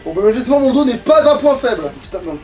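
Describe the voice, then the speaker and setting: Let's player, male. High register, lively swings, sweary and informal. Off-mic, game music underneath. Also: very fast, distant mic. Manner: informal, swearing, muttering to himself. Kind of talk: casual gaming commentary.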